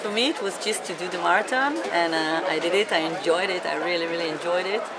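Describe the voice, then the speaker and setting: Israeli woman, 40s, amateur skater; slow, filler-heavy speech over a loud expo crowd. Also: on the low side. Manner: halting, many fillers, slow and heartfelt. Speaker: marathon skater. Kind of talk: interview to camera. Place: busy indoor expo hall. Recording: loud crowd and noise behind.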